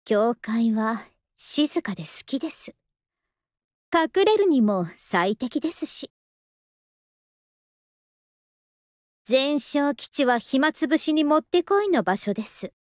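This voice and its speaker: A woman playing a lazy, polite, softly affectionate young masked soldier in an anime game, with an understated, languid delivery. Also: high-pitched, sing-song, unhurried, dry recording, studio quality. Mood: languid, calm. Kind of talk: Japanese anime game voice acting.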